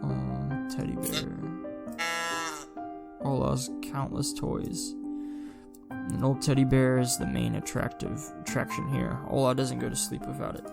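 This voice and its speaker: A man with a creaky, gravelly voice reading a visual novel aloud as a game streamer, with casual, sweary asides. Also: medium pitch, animated, unhurried. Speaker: gaming streamer doing a longplay. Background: game music.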